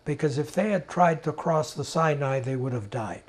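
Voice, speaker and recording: An elderly man from Czechoslovakia giving personal testimony, telling his story deliberately in short, emphatic phrases with pauses. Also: a little high. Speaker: interviewee, Holocaust survivor giving testimony. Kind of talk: personal oral history testimony.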